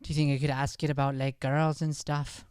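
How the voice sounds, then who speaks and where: A man's voice: a little high, little pitch movement, brisk, darkish tone, clean tone, no room sound, studio quality, lightly acted. A man playing a shy, solitary young boy in an improvised radio play, naive and tentative, with an Irish accent. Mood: hesitant. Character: Cedric, shy solitary boy who likes humming. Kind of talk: improvised old-time radio play acting.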